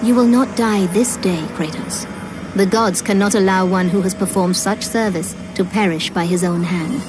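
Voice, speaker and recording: An actress playing a calm, authoritative goddess in a video game, speaking in a measured, stately, formal way. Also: female voice, medium pitch, background noise, natural voice. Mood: commanding. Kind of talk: video game dramatic acting. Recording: music behind the voice.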